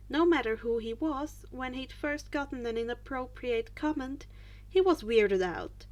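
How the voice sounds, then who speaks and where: A woman reading a scripted story aloud as an audiobook-style narrator. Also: fairly high pitch. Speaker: fan fiction reader and narrator.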